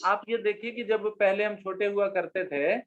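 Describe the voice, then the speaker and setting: High-voiced guy hosting a chat, nudges folks to share old stories, muffled lo-fi sound. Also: normal speed, fairly bright tone, a little hiss or hum. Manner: polite, prompting guests to share memories. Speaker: discussion host. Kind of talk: casual group chat on a call. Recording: dull lo-fi call audio.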